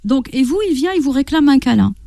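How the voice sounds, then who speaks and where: High-voiced woman explaining in a dry studio. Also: lively intonation, brisk, gravelly, warm tone, dry recording. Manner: didactic, often checks in with rhetorical questions and acts out inner speech. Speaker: guest parenting coach and therapist. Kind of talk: radio interview, explanatory advice with everyday examples. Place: radio studio.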